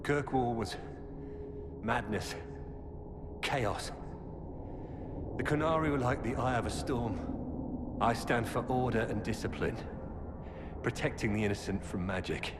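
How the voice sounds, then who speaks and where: An actor playing a weary, earnest former knight turned defector, giving grave, urgent warnings in a video game. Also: male voice, mid-range voice, a little slow, long pauses, natural voice. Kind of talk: dramatic video game dialogue. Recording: cavernous reverb with loud background noise.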